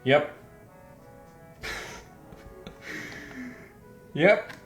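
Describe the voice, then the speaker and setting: A man's voice: extremely slow, a bit bright. A man giving casual let's play commentary as host, self-deprecating and exasperated, often breaking into laughter. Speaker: game streamer, host. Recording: roomy echo, faint music behind.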